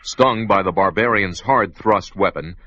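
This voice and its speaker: A man's voice: sits low, unhurried. Man narrating an old radio adventure. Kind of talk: dramatic radio drama narration. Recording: muffled, hissy vintage radio recording.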